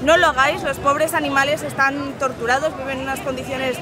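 High-voiced young Spanish woman vlogging over music. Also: flat delivery, fairly quick, crowd noise. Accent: Spain. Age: 20s. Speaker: travel vlogger. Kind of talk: casual travel vlog presenting to camera. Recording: music behind the voice.

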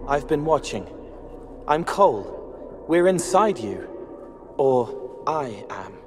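Gentle young game spirit, halting, dreamy, unhurried, music behind. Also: male voice, medium pitch, very animated. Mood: earnest, dreamy. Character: gentle, eerie young male spirit, earnest helper. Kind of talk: video game cutscene acting.

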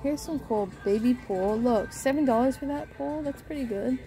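A woman's voice: high voice, moderate pace, mellow, soft background music. A woman vlogging a casual shopping trip through a home goods store, pointing out items and reading prices aloud. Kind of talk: casual shop-with-me narration.

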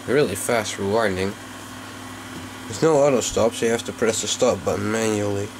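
A man giving a casual, unscripted hands-on demonstration in a low, creaky voice, recorded on a handheld camera microphone. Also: normal speed, background noise. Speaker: hobbyist video maker. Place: small indoor workroom.

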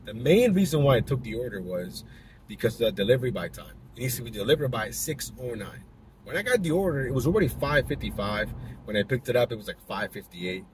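A man's voice: medium pitch, sing-song, a little fast, poor audio. Chatty young man talking from a car. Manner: chatty, loose, full of fillers and restarts. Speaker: delivery driver vlogger. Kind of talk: casual vlog talk to camera. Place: inside a car, driving. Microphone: dash-mounted camera. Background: faint car noise.

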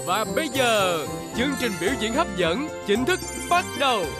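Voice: mid-range voice, sing-song, moderate pace, close to an everyday voice. A performer voicing a showy, friendly young male magician in a cartoon dub, with a grand, welcoming showman's delivery. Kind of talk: dubbed cartoon acting, stage announcer. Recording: loud music behind the voice.

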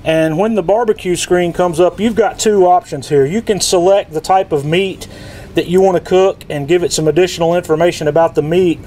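A middle-aged man from the sound of a casual product tutorial, speaking. His voice sits a little high for a man. He explains each step in a folksy way, with some outdoor ambient noise behind him. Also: mellow. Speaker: product demonstrator. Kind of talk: casual instructional how-to narration.

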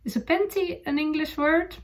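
A woman from the Netherlands in her forties with a Dutch accent, chatting casually to camera from home. She hesitates often, filling pauses with ums and uhs while she searches for English words. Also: high-pitched. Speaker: knitting podcast host. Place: bright room at home.

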